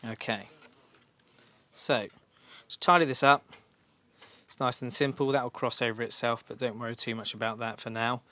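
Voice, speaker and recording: A man's voice: mid-range voice, steady tone, normal speed, pushed and bright. A man giving an informal, practical step-by-step demonstration in a reassuring, instructional way. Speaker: motorcycle shop staff giving a fitting tutorial.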